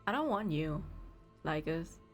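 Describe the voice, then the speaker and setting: A woman game streamer giving casual reaction commentary with drawn-out, emotional exclamations. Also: sits low, animated. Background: faint game music.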